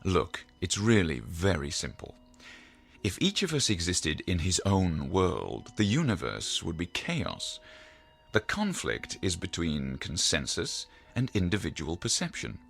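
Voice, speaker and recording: An actor playing a calm, pedantic psychiatrist in an audio drama, speaking in a measured, slightly pompous, professorial way. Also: male voice, low-pitched, vocal fry, breathy edge, exaggerated voice. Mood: lecturing. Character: calm, pedantic male psychiatrist, lecturing and reassuring.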